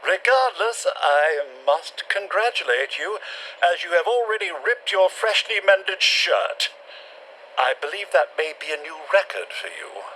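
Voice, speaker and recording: A man's voice: high-pitched, big pitch swings, unhurried, sharp tone, slight background noise, exaggerated voice, rough recording. A man voicing a polite, dry, sarcastic robot butler in a radio play. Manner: polite, dry, sarcastic, always says sir. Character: prim male robot butler, dry and dutiful. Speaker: robot servant.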